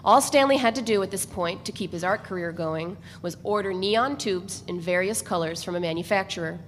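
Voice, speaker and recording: A woman narrating a literary novel in a scripted dramatic reading. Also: on the low side. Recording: reverberant hall with background noise.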